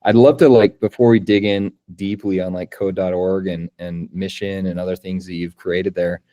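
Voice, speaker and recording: A man's voice: low voice, little pitch movement, normal speed, dark tone, highs cut off. A man in his thirties hosting an interview podcast, friendly and enthusiastic, with many fillers. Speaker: podcast host.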